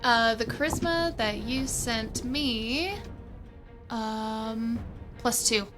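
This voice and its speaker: A woman with a fairly high voice and a very slow overall pace plays a tabletop roleplaying game. She thinks aloud informally as she describes her characters' actions. Music plays behind her. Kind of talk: casual game-table narration and chat.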